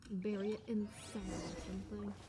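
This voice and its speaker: Woman, mid-pitched, casual gameplay commentary, slangy muttered reactions, loud game sound behind. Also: steady tone, normal speed, very noisy. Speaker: gaming video creator.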